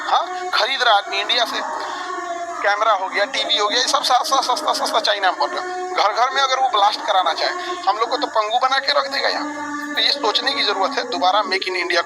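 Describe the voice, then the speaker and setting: A man with a Bihari accent teaches in a very high-pitched voice. He speaks colloquially and emphatically, hammering points home with repetition and rhetorical questions. Loud music plays behind him. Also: expressive, a little fast, rough recording. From India. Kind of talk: animated classroom lecture on current affairs.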